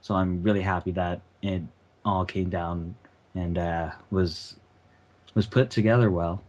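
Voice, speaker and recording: A man chatting casually with a halting delivery on a dull lo-fi mic. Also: sits low, fairly even intonation, a little mellow. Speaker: amateur voice actor. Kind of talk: casual chat.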